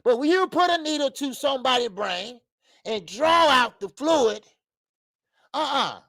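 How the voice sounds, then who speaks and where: A man in his fifties hosting a live boxing talk stream, loud and profane, rambling and greeting viewers by name. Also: extremely high pitch, animated, measured pace, dry recording. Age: 50s. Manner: frequent laughs and stretches of filler. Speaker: boxing show host. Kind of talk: live-stream commentary chat.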